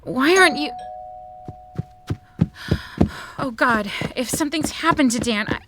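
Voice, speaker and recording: A woman's voice: high-pitched, expressive, a little fast, noisy background. A woman playing a distraught, pleading fiancée in an audio drama. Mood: anxious, worried. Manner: pleading, anguished.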